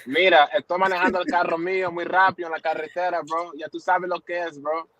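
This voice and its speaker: A man's voice: high voice, little pitch movement, brisk, fairly bright tone. A man in casual group chat, brash and teasing, who keeps calling everyone bro. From Dominican Republic. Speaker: participant.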